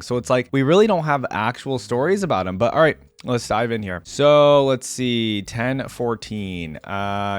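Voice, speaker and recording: Casual male reaction host, chatty. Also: medium pitch. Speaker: reaction video creator, host. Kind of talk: casual reaction commentary and reading game lore aloud.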